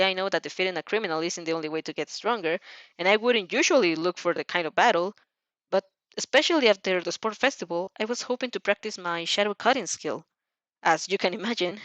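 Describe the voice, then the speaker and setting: A woman's voice: mid-range voice, fairly quick, fairly bright tone, no room sound, close to an everyday voice. A woman playing an earnest, guarded teenage girl training as a hero, explaining herself carefully in a fan fiction audio reading on a slightly dull recording.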